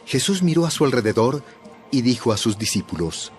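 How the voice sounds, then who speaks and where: A man narrating a dramatized Bible story in a scripted, measured storytelling manner. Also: mid-range voice, big pitch swings, soft background music.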